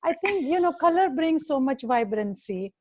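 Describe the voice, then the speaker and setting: A woman with an Indian accent hosts an interview, her voice sitting high. She pauses often with fillers and restarts as she searches for words. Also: big pitch swings, a little mellow. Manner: hesitant, many fillers. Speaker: host, dietitian. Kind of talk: interview chat.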